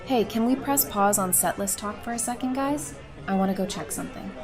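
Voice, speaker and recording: An actress plays a direct, affectionate woman who leads. Her mid-pitched voice is casual and brisk, in an audio drama with music clearly underneath. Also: a crowd in the background, natural voice. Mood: playful. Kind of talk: fan audio drama acting.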